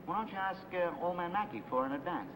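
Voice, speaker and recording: An American actor playing an easygoing, casual garage co-worker in a 1950 noir film. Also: male voice, high voice, animated, quick pace. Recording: very noisy vintage film soundtrack.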